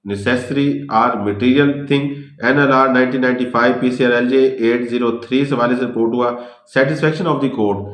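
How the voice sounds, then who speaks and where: Pakistani guy doing a formal law lecture, slow and deliberate, room sounds echoey. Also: mid-range voice, fairly bright tone. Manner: deliberate, formal, switching between English and Urdu. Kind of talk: scripted legal lecture, reading out points.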